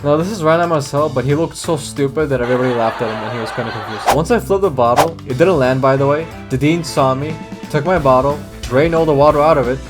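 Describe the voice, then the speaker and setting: A man's voice: medium pitch, fast talker, hardly any pauses. A young man from Georgia, telling school stories in a casual, edgy, sarcastic way. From Georgia (country). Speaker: YouTuber. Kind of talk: comedic storytelling narration. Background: background music.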